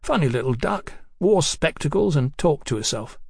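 A man's voice: a little high, lively intonation, creaky, darkish tone, husky, dry recording, studio quality, full character voice. A man voicing an easy-going, talkative working-class bill-sticking boss in an audiobook, with folksy, colloquial phrasing. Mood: chatty, unruffled. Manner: folksy, unhurried, colloquial, garrulous.

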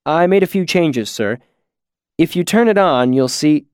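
A man's voice: a little high, animated, a little mellow, smooth, no echo, clean studio sound, pitched above the performer's own voice. A man voicing a young male student inventor in an audiobook, respectful and earnest, eager to explain himself. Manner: earnest, quick to explain, deferential. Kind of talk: audiobook character dialogue.

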